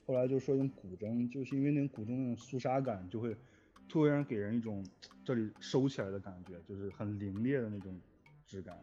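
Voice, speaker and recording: A man's voice: medium pitch, a little fast, mellow. Dull lo-fi recording, man chatting earnestly. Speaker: music composer for audio dramas. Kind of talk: casual reflective interview chat. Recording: dull lo-fi audio with music behind. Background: music.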